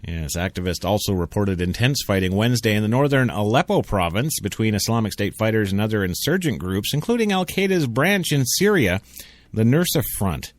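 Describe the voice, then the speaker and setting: A man's voice: fairly low pitch, big pitch swings, fairly quick, no echo. A man hosting a radio show, reading the news with joking asides and stumbling over hard names. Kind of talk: comic news commentary. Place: radio studio.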